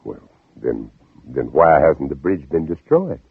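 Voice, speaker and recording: A brooding, deep-voiced Southern gentleman of vintage radio. He speaks dramatically and with wide melodic swings, as if living a nightmare. The recording is dull and narrow, with music behind. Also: very animated, slow, vocal fry, husky, no room sound, exaggerated voice. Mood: courteous, probing. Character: anguished Southern gentleman planter, narrating his own ordeal. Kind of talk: 1940s-50s radio drama acting.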